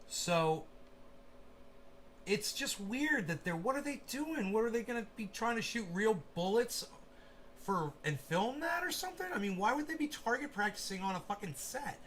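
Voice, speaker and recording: A man hosting a casual livestream, his voice sitting a touch high. He rambles, swears freely and acts things out with mouth sound effects. Faint noise hangs behind him. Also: expressive, moderate pace, a bit bright. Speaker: channel host.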